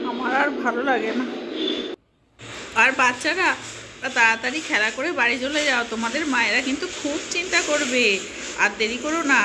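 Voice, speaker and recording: A woman's voice: high-pitched, close to an everyday voice. A woman voicing a fussing, complaining village mother in a homemade doll-play story. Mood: chiding. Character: scolding, hard-working village housewife and mother, bustling and nagging. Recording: noisy homemade recording.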